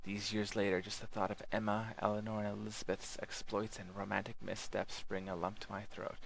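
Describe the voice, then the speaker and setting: Man playing a low, pompous alien intellectual. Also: little pitch movement, fairly quick, vocal fry, a bit bright, poor audio. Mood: wistful. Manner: florid, self-important, bookish. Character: pompous male alien intellectual recalling his youth. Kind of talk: first-person memoir narration.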